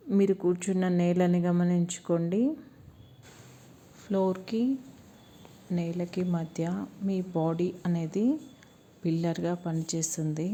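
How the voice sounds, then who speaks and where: A woman with a Telugu Indian accent leads a guided meditation in a slow, soothing manner, leaving long pauses between short phrases. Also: fairly low pitch, dark tone.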